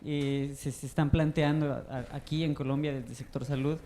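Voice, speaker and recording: A man's voice: mid-range voice, fairly even intonation, normal speed, warm tone, slight background noise. A man from Mexico with a Mexican accent, asking a thoughtful question from the audience with some hesitation. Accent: Mexican Spanish. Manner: thoughtful, hesitant, many fillers.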